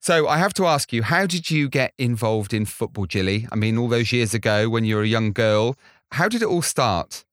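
A man's voice: medium pitch, animated, normal speed, no echo, studio quality. A man hosting a podcast, introducing and interviewing a guest in a conversational, articulate way. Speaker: podcast host.

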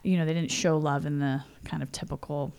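A woman's voice: low voice, steady tone, fairly quick, vocal fry, a little mellow. A woman in her forties from California, telling personal stories in a chatty interview full of "you know" and "like". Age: 40s. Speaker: interview guest. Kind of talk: casual storytelling. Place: home.

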